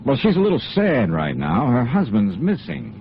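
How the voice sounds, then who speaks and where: An actor playing a tough, wry insurance investigator in a 1950s radio noir drama, with a clipped, hard-boiled delivery. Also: male voice, medium pitch, wildly swooping pitch, normal speed. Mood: defiant, wry. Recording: muffled old radio recording, faint noise.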